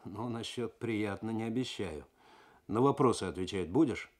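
A man's voice: on the low side, moderate pace, a little mellow, natural voice. A man voicing a stern, wry police investigator with a dry, commanding manner in a radio story. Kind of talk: Soviet radio story reading.

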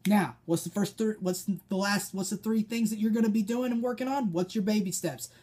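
A young American man talks like a personal trainer on a casual vlog. His voice is mellow and husky, with a direct, emphatic way of instructing. He is seated indoors, a short way from the camera. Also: high-pitched, fairly quick. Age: young adult. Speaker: personal trainer giving advice. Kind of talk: informal vlog coaching. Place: small dim room indoors, seated at a desk.

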